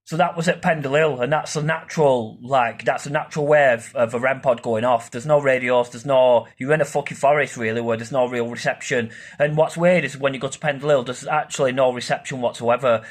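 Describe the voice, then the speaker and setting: A man's voice: mid-range voice, fairly even intonation, a little fast, few pauses. A man talks through an informal explainer video in the way of an amateur investigator. His delivery is rambling and hesitant, circling back over his points, with the odd casual swear word.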